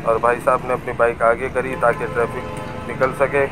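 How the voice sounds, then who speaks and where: A man slowly narrating a market street tour from a bike, with traffic and crowd noise behind him. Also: mid-range voice, flat delivery, music in the background. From Pakistan. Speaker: vlogger. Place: busy market street outdoors. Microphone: camera on a moving bike. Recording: noisy outdoor street recording.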